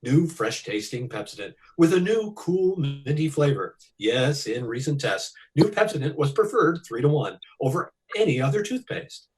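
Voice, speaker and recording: A man's voice: medium pitch, sing-song, moderate pace, hardly any pauses, distant mic, rough recording. A man announcing and reading sponsor spots in the style of a 1940s radio show.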